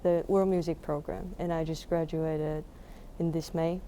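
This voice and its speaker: Young woman, Taiwanese accent, interviewee. Soft-edged mellow tone, halting casual delivery, faint background noise. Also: sits low, level tone. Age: young adult. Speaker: musician being interviewed.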